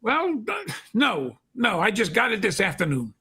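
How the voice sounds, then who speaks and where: A man's voice: high voice, huge pitch swings, moderate pace, bright, forceful tone, husky, full character voice. A man playing an evasive, nervous shopkeeper in a vintage radio drama, curt and stammering with streetwise speech. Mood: defensive. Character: evasive, nervous, defensive male secondhand clothes dealer.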